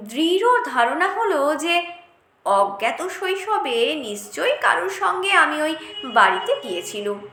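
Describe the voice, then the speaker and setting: A woman reading a story aloud in a dramatic, expressive first-person style with deliberate phrasing. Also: sits high, echoey room. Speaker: storyteller, audiobook narrator.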